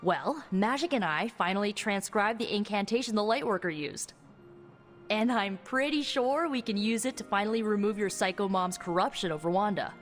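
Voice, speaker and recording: An actress playing a quick-witted, sardonic young sorceress in a video game, talking in a casual, halting, anxious way. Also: female voice, mid-range voice, long reverb tail, soft background music, close to an everyday voice. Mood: eager. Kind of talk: video game cutscene dialogue.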